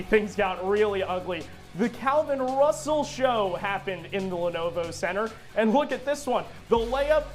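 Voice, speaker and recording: A man working as a sports reporter and announcer, delivering game news and commentary in a punchy, emphatic way. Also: high-pitched.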